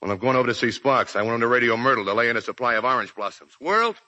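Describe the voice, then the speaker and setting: A man's voice: mid-range voice, lively intonation, rapid-fire, bright, forceful tone. An actor playing a cocky, slangy American serviceman in a 1940s radio drama, jaunty and wisecracking.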